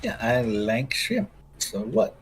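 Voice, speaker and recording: Female co-host, husky and lively, slow-paced joking banter. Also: extremely low pitch. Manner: playful, joking. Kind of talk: casual livestream banter. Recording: guitar music behind the voice. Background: guitar music.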